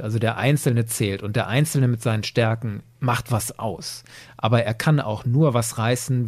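A man's voice: medium pitch, a little mellow, dry recording. A man hosting a podcast, giving his opinions analytically in a conversational review discussion. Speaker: podcast host.

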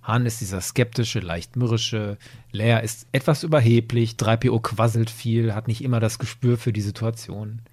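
A man's voice: medium pitch, steady tone, moderate pace, darkish tone, no echo. A man hosting a podcast, giving his opinions analytically in a conversational review discussion. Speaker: podcast host.

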